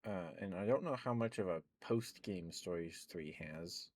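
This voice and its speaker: A young man, an ASMR streamer, rambling softly in casual chat with frequent chuckles. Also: deep voice, unhurried. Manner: soft-spoken, rambling, chuckles often.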